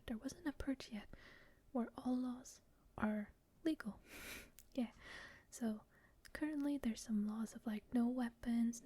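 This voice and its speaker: Whispering shy woman, soft and breathy. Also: a little high. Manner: soft, shy and rambling, with frequent laughs. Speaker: gamer. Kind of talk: whispered game commentary.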